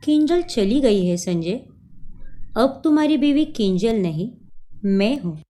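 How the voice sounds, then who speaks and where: A woman's voice: fairly high pitch, expressive, unhurried, dark tone. A woman voicing a cold, scheming young woman who mocks and bosses others around, slipping in English words. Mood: scornful. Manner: taunting, bossy, mixes in English words.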